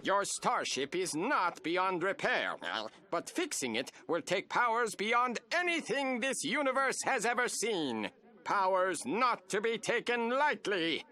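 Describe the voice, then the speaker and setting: Mystical alien guide, video game, high, piercing, animated, portentous and secretive. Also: male voice, measured pace, full character voice. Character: mystical, cautious male alien sage.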